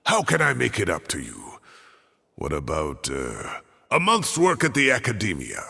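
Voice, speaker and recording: A man's voice: on the low side, wildly swooping pitch, normal speed, creaky, sharp tone, husky, full character voice. An actor playing a cocky old male scholar who boasts and haggles his way out of trouble. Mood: defensive. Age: older. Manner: boastful, bargaining, pleading. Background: background music.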